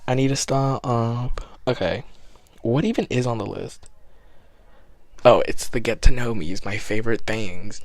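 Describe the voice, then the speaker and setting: A young man in his twenties with a raspy voice, hosting a casual solo podcast and chatting in a rambling, self-deprecating way, a little out of breath. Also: mid-range voice, measured pace, no room sound. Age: 20s. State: slightly out of breath. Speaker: podcast host. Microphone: podcast microphone.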